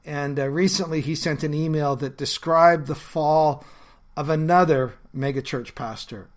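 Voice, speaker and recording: A man hosting his own podcast as a pastor, talking in an earnest, conversational way with frequent fillers, his voice lowered by a bad cold. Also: fairly even intonation, measured pace. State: has a bad cold, voice lower than normal. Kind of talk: reflective solo podcast talk.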